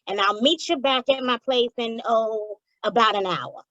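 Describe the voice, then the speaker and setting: A woman's voice: a little high, moderate pace, pushed and bright, no echo. Woman playing a dull-sounding, streetwise underworld informant. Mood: businesslike, wry. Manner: slangy, wisecracking gangland talk. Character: streetwise, wary underworld informant named Piggy. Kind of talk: old-time radio crime comedy acting.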